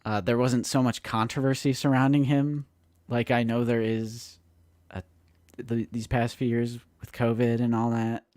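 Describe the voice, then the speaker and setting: A man's voice: medium pitch, unhurried, no room sound. A man in his late twenties co-hosting a live stream, chatting casually and joking, acting out quotes. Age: late 20s. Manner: animated and joking, acts out quotes. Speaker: co-host of a toy collecting live stream.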